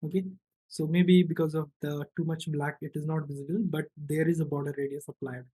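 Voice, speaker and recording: A man's voice: a little high, flat delivery, a little fast, warm tone, low-quality recording. A man narrating an informal step-by-step screencast as a programming instructor, often checking in with "right" or "okay".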